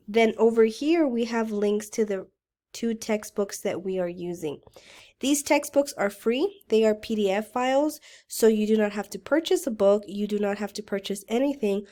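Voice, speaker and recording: A woman college writing instructor, still getting over a cold, explains how to navigate her course in a relaxed, conversational way. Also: medium pitch. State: voice rough from a recent cold. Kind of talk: recorded course walkthrough lecture.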